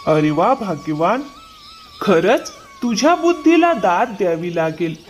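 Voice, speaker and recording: A man's voice: high-pitched, sing-song, unhurried. A man playing a pliable, dim-witted grown son in a cartoon moral tale. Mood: uncertain, conspiring. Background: music.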